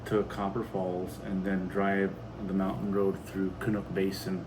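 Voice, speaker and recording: Low-voiced man chatting over steady noise. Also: unhurried. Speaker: homestead vlogger and co-host. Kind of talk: casual chat. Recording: clear steady background noise, like ventilation.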